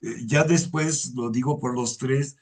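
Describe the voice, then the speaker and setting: A man hosts a relaxed interview. He speaks in long, winding sentences and stops often on drawn-out fillers. His pitch sits in an ordinary middle range for a man. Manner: hesitant and wordy, many drawn-out fillers. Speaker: host. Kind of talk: conversational interview on a video call.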